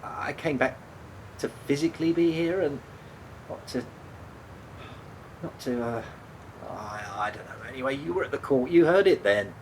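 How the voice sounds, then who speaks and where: A man's voice: medium pitch, animated, moderate pace, long pauses, background noise, natural voice. An actor in a radio play voicing a guilt-ridden, apologetic man who stammers and hesitates as he speaks. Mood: reluctant, uneasy.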